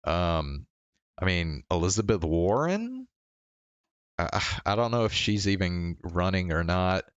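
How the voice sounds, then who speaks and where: A man livestreaming in a casual, rambling chat, halting and stammering through restarts and swearing casually. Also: sits low, sing-song, clear, resonant tone, no echo, lo-fi sound. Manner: casually profane.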